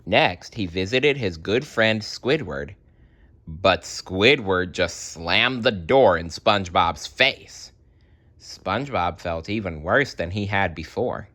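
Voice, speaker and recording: A male storyteller with a low, bright voice reads a children's tale aloud. He is expressive and takes his time, dropping in wry asides. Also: takes it slowly.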